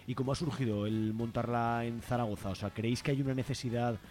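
A man's voice: medium pitch, steady tone, quick pace, a little mellow, soft background music. A man with a Peninsular Spanish accent hosting a conversational radio interview, often adding little checking tags. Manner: uses frequent checking tags. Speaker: radio host.

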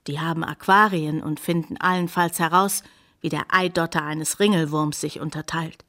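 Exasperated grown daughter. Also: female voice, sits low, steady tone, normal speed, fairly bright tone. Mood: bitter, brooding. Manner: complaining, pointed. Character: exasperated, bitter grown daughter of an old scholar. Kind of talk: German radio play acting.

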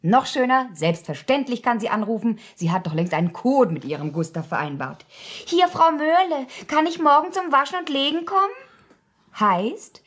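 Sharp-tongued, husky, animated woman. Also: high voice, moderate pace, exaggerated voice. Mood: knowing, pert. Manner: pert, mocking, acts out imagined lines. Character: sharp-tongued, scheming woman, quick to interject. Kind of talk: German radio play dialogue.

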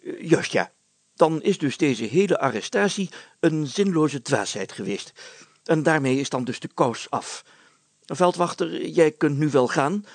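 A man's voice: a little high, expressive, a little slow, dry recording, pitched above the performer's own voice. A man voicing a pompous, jovial village mayor who speaks emphatically and hems solemnly in a storybook reading. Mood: official, blustery.

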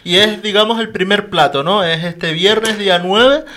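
A male radio host leading a studio interview, upbeat and quick with affirmations. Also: high voice, pushed and bright. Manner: upbeat, frequent backchannel affirmations.

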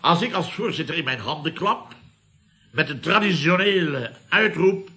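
Blustering, self-important boss, piercingly bright. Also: male voice, a little high, lively intonation, normal speed. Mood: outraged. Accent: Netherlands Dutch. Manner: blustering, repetitive, pompous catchphrases. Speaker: company boss and club chairman. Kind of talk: 1970s radio comedy acting.

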